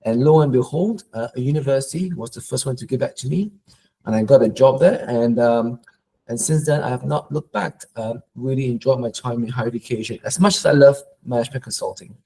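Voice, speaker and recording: A middle-aged man from Malaysia, a professor answering interview questions in a thoughtful way, often pausing and repeating words as he goes. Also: medium pitch, warm tone, slightly rough, low-quality recording.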